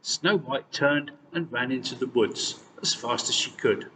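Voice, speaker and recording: A man reading a fairy tale aloud as scripted, expressive storytelling. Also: mid-range voice, a little slow. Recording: distant mic, long reverb, faint noise.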